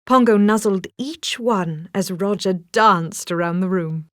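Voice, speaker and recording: A woman's voice: mid-range voice, measured pace, no room sound, clean studio sound. A woman reading a scripted children's story as a storybook narrator.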